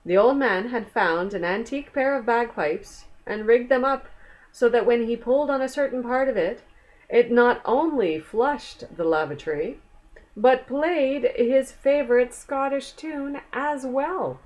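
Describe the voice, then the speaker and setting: A woman's voice: mid-range voice, rough recording. A woman reading a children's story aloud, expressively, with chatty asides and questions to the young listener. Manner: expressive, pausing to explain and ask the listener questions.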